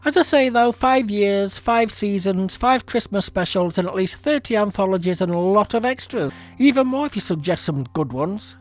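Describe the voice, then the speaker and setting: A man's voice: high-pitched, animated, moderate pace, hardly any pauses. A man hosting a casual podcast as a creator, rambling and self-deprecating. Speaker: podcast host and audio drama creator. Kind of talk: casual chat.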